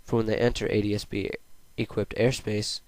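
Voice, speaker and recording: A man's voice: on the low side, little pitch movement, fairly quick, darkish tone, no room sound. A young adult man reading a scripted school presentation as a student presenter, in a plain read-aloud delivery.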